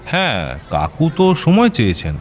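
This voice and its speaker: A man's voice: mid-range voice, huge pitch swings, moderate pace. A man acting in an audio drama as a supportive young fiancé, plain-spoken, reassuring and firm. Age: young adult. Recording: prominent music bed under the voice.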